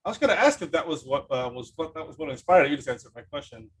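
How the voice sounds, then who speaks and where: A man hosting a casual interview, asking rambling questions in a halting way. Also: fairly high pitch, animated, fairly bright tone, husky. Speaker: podcast host, interviewer.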